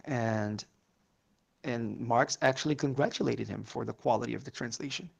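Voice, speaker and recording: A man's voice: mid-range voice, moderate pace, vocal fry, husky. A man whose first language is Portuguese, rambling through casual political chat with many fillers and curses.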